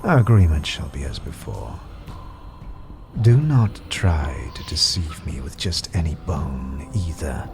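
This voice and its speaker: An actor playing an imperious, menacing and cunning sorcerer in a fantasy audio drama, with haughty theatrical delivery. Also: male voice, rumbling low, big pitch swings, unhurried, vocal fry, heavily acted. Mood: intrigued, haughty. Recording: music behind the voice.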